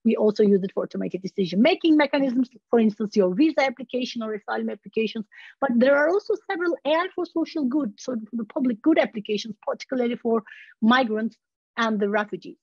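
A woman's voice: on the high side, fast talker, a little mellow, husky, no room sound. A woman, giving an academic webinar lecture over a video call, talking casually with many "you know, like" asides and self-corrections. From Turkey. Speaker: university researcher giving a webinar. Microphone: laptop video call. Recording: muffled video-call audio.

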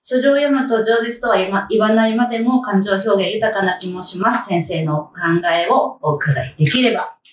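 Young female interviewer, polite questioning, medium pitch, echoey room. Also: moderate pace, recorded from a distance. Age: young adult. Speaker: interviewer, host. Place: small indoor room, seated at desk. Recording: roomy echo, off-mic, faint noise.

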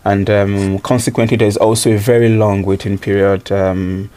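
This is a man in his thirties, giving a relaxed interview as a student guest. His voice sits on the low side, and he thinks aloud with frequent ums and an easy laugh. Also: normal speed. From Nigeria. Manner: thoughtful, many ums and uhs, laughs easily. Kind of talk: casual interview answers.

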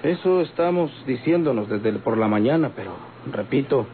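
A man acts out a courteous Mexican police commander, grave and worried. He speaks formally and deliberately in a mid-range voice. It is vintage radio drama, with thin, tinny sound and some hiss. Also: medium pitch, animated, close to an everyday voice. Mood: grave concern. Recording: tinny, hissy old radio recording.

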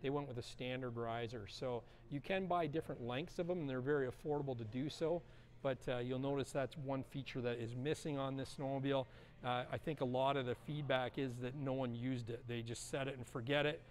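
A man's voice: medium pitch, a little fast. Middle-aged man chatting through a showroom tour. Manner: relaxed, chatty, lots of uh and um fillers. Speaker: vlogger touring a snowmobile dealership. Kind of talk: casual walkthrough presenting. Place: large indoor showroom.

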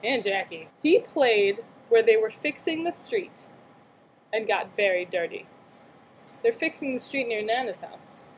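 A woman's voice: fairly high pitch, lively intonation, measured pace, slight background noise, rough recording. A young adult woman doing a read-aloud storytime for small children, playful, with chatty questions and exclamations. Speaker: aunt reading a picture book to young relatives. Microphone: computer webcam mic at arm's length.

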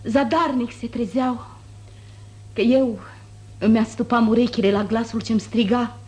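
A woman's voice: on the high side, steady tone, a little mellow, natural voice. An actress playing a proud, wounded noblewoman, formal, declamatory and reproachful, in 1950s radio theatre. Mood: wounded, bitter.

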